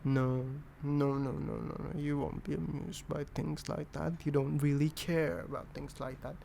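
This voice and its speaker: A young man from Indonesia with an altered British accent, talking softly and rambling in a boyfriend ASMR roleplay. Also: a little high, fairly even intonation, moderate pace, vocal fry, slight background noise. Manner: soft, rambling, self-deprecating.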